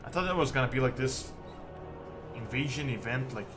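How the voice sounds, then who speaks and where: A man from Serbia, chatting casually to viewers as a game streamer in a halting, rambling way peppered with "like". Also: mid-range voice. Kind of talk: casual livestream chat with viewers. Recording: music behind the voice. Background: music.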